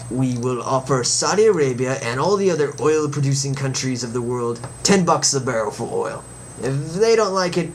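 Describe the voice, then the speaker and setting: A man's voice: mid-range voice, lightly acted. A man playing a cocky superhero who delivers a comic monologue in a folksy, theatrical, opinionated manner. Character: cocky adventuring male superhero chatting to fans. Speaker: show host in character. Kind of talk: comic monologue, reading a letter aloud. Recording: background noise behind the voice.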